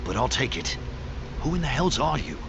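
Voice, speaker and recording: An actor playing a weary, sardonic imprisoned soldier in a fantasy video game, dry and blunt. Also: male voice, medium pitch, big pitch swings, normal speed, creaky, music in the background. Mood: wary, surprised. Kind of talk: video game character acting. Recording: noisy background ambience.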